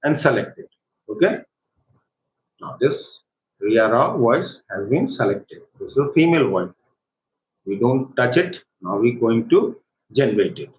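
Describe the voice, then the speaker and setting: A man with an Indian accent giving a live step-by-step software tutorial as an instructor, haltingly, often checking for agreement with short tag questions. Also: mid-range voice, very animated. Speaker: workshop instructor. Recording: echoey room, dull lo-fi sound, faint noise.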